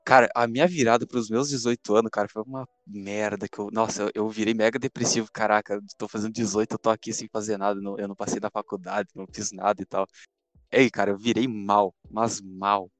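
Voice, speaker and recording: A man's voice: fairly low pitch, steady tone, quick pace, dry recording. An eighteen-year-old Brazilian man chatting casually in an informal, exclamatory way full of slang. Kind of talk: casual chat.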